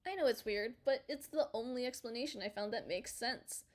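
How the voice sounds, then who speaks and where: Woman acting earnest nosy podcast reporter, fairly high voice, radio play. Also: brisk, lightly acted. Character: inquisitive, earnest female podcast reporter investigating.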